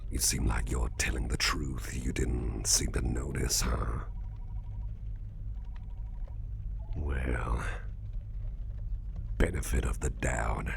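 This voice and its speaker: A man acts out a menacing, suspicious boss in a whispered ASMR roleplay. His voice sits extremely low and crackles with thick fry. He takes his time, probing with cool courtesy and musing hums. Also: heavily acted. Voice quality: breathy, gravelly whisper. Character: suspicious, menacing male boss interrogating an employee, coolly courteous.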